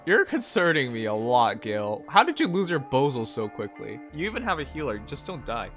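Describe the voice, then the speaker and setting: A streaming host with a slight Chinese accent rambles bluntly and profanely while reading out chat. His English is his second language, and his voice is bright and forceful at an ordinary middle pitch. Soft music plays faintly behind him. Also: sing-song, normal speed. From Canada. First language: not English. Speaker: livestream host. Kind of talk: casual chat, reading chat messages aloud.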